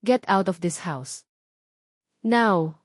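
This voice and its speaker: A woman's voice: lowish voice, animated, very slow, dry recording, clean studio sound, exaggerated voice. A woman voicing a cold, furious young heir who makes clipped accusations and mixes in English phrases. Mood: cold fury.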